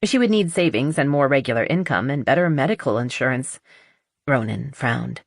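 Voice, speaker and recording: A woman with a low-pitched voice narrates romantic fiction. She reads scripted prose as an audiobook narrator. The sound is very dry, with no room around it. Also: expressive, moderate pace, vocal fry, dry recording.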